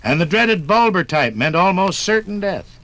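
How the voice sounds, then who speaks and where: A man's voice: sits high, sing-song, normal speed, a bit bright, no room sound, poor audio. A man narrating and announcing in a polished, declamatory 1950s radio style.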